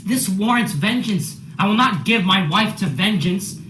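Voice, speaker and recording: A man's voice: high voice, animated, unhurried, slight background noise, exaggerated voice. Man acting defiant Puritan farmer, roomy sound. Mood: furious defiance. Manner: blunt, forceful, challenging. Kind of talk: radio play acting.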